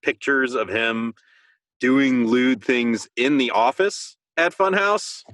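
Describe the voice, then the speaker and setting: A man's voice: mid-range voice, slow pace, fairly bright tone, no echo. A man chatting informally as a podcast co-host, swearing freely as he talks. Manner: informal, profane. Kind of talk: casual chat.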